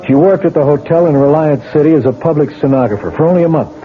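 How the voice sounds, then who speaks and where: A man's voice: on the high side, fast talker, lightly acted. Man doing a skeptical cop lieutenant, firm, lo-fi radio with faint music. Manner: probing, skeptical, commanding. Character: skeptical male police lieutenant, probing and firm. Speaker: police lieutenant. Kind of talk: 1970s radio drama acting. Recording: tinny lo-fi old radio broadcast with music.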